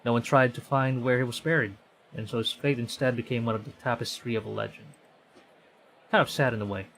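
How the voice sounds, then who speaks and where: A man's voice: medium pitch, steady tone, a little fast, gravelly, a bit bright, low-quality recording. A man reading a visual novel aloud on stream, conversational, often stumbling and correcting himself. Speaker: VTuber streamer.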